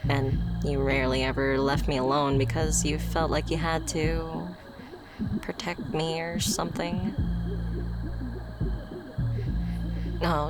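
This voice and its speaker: A woman playing a shy, socially awkward young friend in a romantic ASMR roleplay, speaking softly and hesitantly with stammers, tender and easily flustered. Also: extremely low pitch, creaky, very noisy. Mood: wistful, gently teasing. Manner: soft, hesitant, stammering, sighing. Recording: prominent music behind the voice. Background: music.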